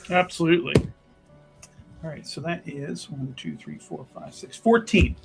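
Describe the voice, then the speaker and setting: A man chatting casually as a roleplaying player, describing what his character does in halting phrases. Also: a little high, big pitch swings, creaky, over music. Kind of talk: casual game chat, describing a character's actions.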